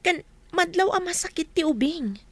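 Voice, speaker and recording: Woman playing a very high-voiced curious child. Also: expressive, moderate pace, no room sound. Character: curious young child of the household. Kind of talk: Ilocano radio drama acting.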